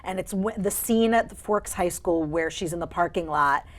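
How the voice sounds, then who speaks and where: A woman voice actor, a guest on a studio talk show, telling stories about her career in a lively, expressive way. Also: fairly low pitch, moderate pace. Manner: animated, expressive storyteller. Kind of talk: interview storytelling. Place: TV studio couch.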